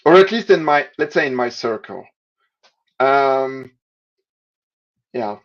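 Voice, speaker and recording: Swiss man, halting, lo-fi call audio. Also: mid-range voice, animated. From Switzerland. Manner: halting, many restarts and fillers. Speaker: podcast guest. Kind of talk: casual interview answers. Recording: dull lo-fi audio.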